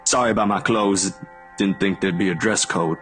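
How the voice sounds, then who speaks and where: A man's voice: low-pitched, steady tone, moderate pace, a bit bright. An actor playing a kind, slightly awkward young guy in a visual novel, informal and slangy. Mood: awkward, easygoing. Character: Zachary, awkward, kind, laid-back casual young guy. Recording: music playing underneath. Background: game music.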